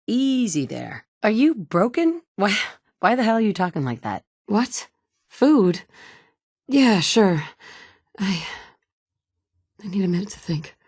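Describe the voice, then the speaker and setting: A dry, blunt game heroine. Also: female voice, mid-range voice, big pitch swings, slow, long pauses, darkish tone, breathy edge, dry recording, full character voice. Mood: terse. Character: tough wry woman survivor, young adult. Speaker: player character. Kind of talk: video game dialogue.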